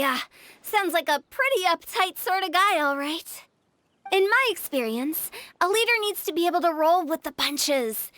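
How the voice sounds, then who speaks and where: 아주 높은 목소리의 당돌한 소녀. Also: female voice, extremely high pitch, a bit bright, no echo. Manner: playful, confident. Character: perky, cheeky teenage girl business director. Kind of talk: English game dub voice acting.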